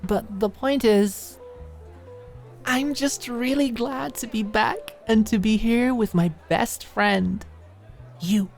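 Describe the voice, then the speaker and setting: A woman voicing a happy, affectionate young woman in an audiobook, with a playful, gentle way of talking. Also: on the high side, takes it slowly, faint music behind, pitched above the performer's own voice.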